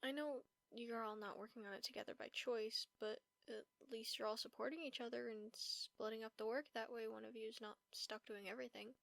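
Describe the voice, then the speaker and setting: Woman playing a soft-spoken, creaky-voiced caring vampire roommate. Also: on the high side, fairly even intonation, fairly quick, hardly any pauses, dry recording. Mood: gentle concern. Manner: gentle, concerned, reassuring. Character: caring vampire roommate comforting a sleepless friend. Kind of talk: soft-spoken comfort audio roleplay. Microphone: close, dry microphone.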